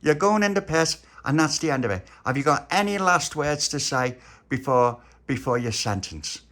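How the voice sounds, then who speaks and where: Brief: man doing a bossy child queen, imperious, swooping high. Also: expressive, normal speed, a bit bright. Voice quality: pompous, imperious. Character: bossy little girl playing a haughty queen.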